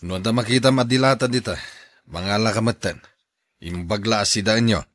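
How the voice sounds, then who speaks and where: A man's voice: on the low side, fairly quick, a bit bright, no echo, clean studio sound, close to an everyday voice. An actor playing a kindly older father looking after his grown daughter, in a Filipino radio drama with a Filipino accent. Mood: concerned, kindly.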